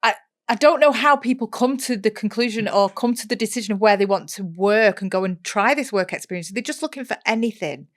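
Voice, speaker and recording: Casual woman, mid-pitched. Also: brisk. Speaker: podcast co-host. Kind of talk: casual chat.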